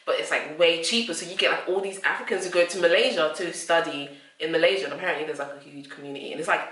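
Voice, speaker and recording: A British woman chatting casually and telling stories as a vlog co-host, in an animated, chatty way. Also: lowish voice, racing. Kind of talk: casual chat. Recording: roomy echo, distant microphone.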